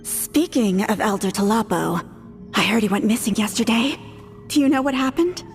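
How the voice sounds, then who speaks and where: Woman as a high-voiced, inquisitive villager. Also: moderate pace, soft background music, lightly acted. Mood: curious. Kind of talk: video game voice acting.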